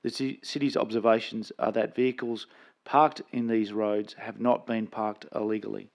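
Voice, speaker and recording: Aussie council official, lowish voice, dry bureaucratic answers, trips over words. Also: male voice, flat delivery, moderate pace, creaky. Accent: Australian. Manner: bureaucratic, slightly stumbling. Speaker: council director answering questions. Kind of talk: official replies at meeting.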